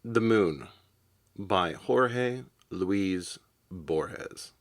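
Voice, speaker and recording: Slow, low-pitched man reading poetry. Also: lively intonation. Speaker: poetry reader. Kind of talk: literary poem reading.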